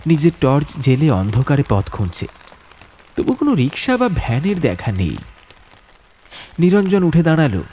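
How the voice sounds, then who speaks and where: A man narrating a horror audiobook as a storyteller, with suspenseful, atmospheric delivery and deliberate phrasing. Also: a little high, a little mellow, slight background noise.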